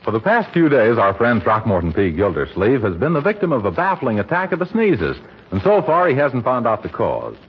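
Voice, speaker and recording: A man's voice: medium pitch, huge pitch swings, fairly quick, husky, faint music behind. A man announcing and selling in a polished, emphatic 1940s scripted radio advertising style. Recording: muffled, noisy old radio recording.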